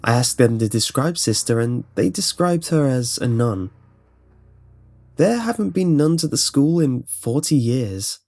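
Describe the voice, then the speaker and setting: A man delivers scripted horror narration in a medium-pitched voice. He tells short first-person anecdotes as a narrator, with music playing behind him. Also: sing-song, a little slow, darkish tone.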